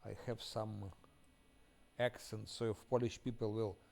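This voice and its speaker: A man from Ukraine, a game streamer giving casual live commentary, often halting as he searches for words in English. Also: lowish voice, little pitch movement, creaky, a little mellow.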